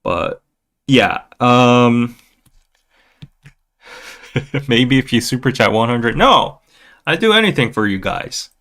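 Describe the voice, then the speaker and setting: A man's voice: medium pitch, lively intonation, slow pace, pauses often, a bit bright. Playful man chatting on a livestream. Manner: playful, jokey, often chuckling. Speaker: podcast livestream host. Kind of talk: casual livestream chat, reading viewer comments.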